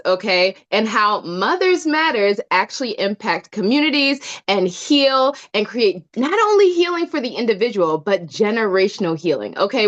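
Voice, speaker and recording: A woman's voice: a little high, a bit bright, dull audio. Effusive, chatty woman podcast host. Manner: effusive, chatty, laughs often. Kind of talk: casual interview chat.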